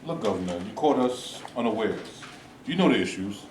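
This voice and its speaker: A man's voice: fairly low pitch, lively intonation, darkish tone, recorded from a distance, natural voice. A man reading a formal activist spokesman. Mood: firm. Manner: formal, deliberate. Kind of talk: staged play reading. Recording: echoey room, voice from afar, faint hiss.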